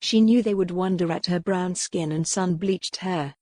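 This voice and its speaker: Female audiobook narrator for historical romance, lowish pitch, scripted storytelling, dry recording. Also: normal speed, a little mellow, no echo.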